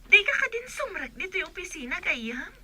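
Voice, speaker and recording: A woman's voice: extremely high pitch, big pitch swings, brisk, bright, forceful tone, full character voice. A woman playing a blunt, mocking young friend in a radio drama, with a Filipino accent. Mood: blunt, scoffing.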